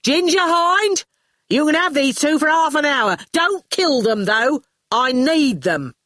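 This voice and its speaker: A woman's voice: medium pitch, lively intonation, unhurried, fairly bright tone, no echo, studio quality. Woman acting a brash, bellowing female gang boss. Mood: commanding. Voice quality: growling, bellowing. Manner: colloquial, brash, barking orders. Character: loud, bossy, hot-tempered woman gang boss.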